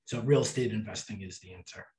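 A man's voice: on the low side, quick pace. Roomy-sounding man on a webcam call. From United States. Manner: relaxed, matter-of-fact explaining with lists. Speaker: guest, travel podcaster. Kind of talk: casual interview answers on a video call. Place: small room at home. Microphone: webcam on a video call. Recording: roomy, distant webcam audio.

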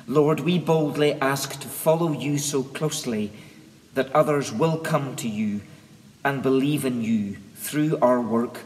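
Middle aged guy minister saying a solemn prayer, really slow n earnest, big echoey church reverb. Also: mid-range voice. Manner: measured, earnest, deliberate phrasing with pauses. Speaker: minister leading worship. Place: large stone church sanctuary.

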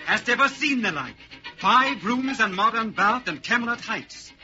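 Pushy archaic-talking pitchman, radio drama, high voice, lo-fi sound over music. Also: animated, moderate pace. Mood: persuasive. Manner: persuasive, pitchman-like. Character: eager medieval real-estate salesman. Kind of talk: old-time radio drama acting. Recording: dull old radio broadcast with music.

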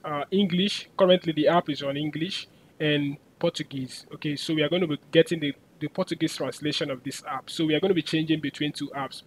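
A man giving a screen-recorded coding tutorial, chatty and filling pauses with "uh" and "okay". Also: on the high side, a little hiss or hum, rough recording.

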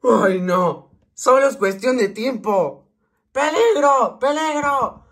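A man's voice: extremely high pitch, animated, slow, a bit bright, exaggerated voice. A man doing a panicky, swooping schoolboy. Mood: panicked. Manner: dramatic, pleading. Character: panicky nerdy schoolboy frantic about a chalk monster. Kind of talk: amateur comic fandub.

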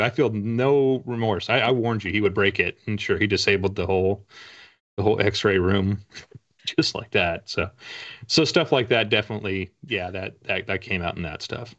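Low-voiced American man, wry and casual. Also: moderate pace, fairly bright tone. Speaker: author guest. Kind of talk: casual interview talk. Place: small home room lined with bookshelves. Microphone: video call, at a desk. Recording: slightly muffled video call audio.

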